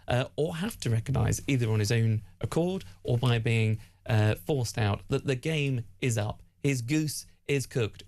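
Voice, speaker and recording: A man's voice: medium pitch, a little mellow. A man guest-presenting a talk radio show, asking long winding questions in a chatty, teasing way.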